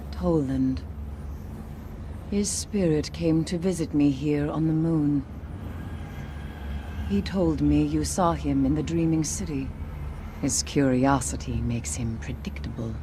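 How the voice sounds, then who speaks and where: Haunted female survivor: grave, low-voiced, unhurried game character, mournful and formal, ambient noise behind. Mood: solemn. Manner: solemn, deliberate, formal phrasing. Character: solemn grieving female survivor haunted by lost friends. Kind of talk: video game cutscene acting.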